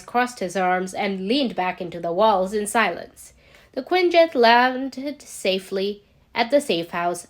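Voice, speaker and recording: A woman narrates fiction from a script in a fairly high voice. She reads very slowly, as an amateur audiobook reader telling a story.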